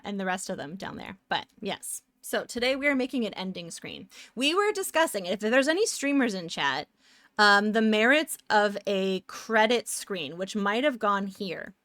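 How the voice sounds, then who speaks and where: A woman's voice: mid-range voice, very animated, a little slow, a bit bright, no room sound, clean studio sound. A young woman with a Canadian accent, chatting casually with her stream viewers, rambling and upbeat.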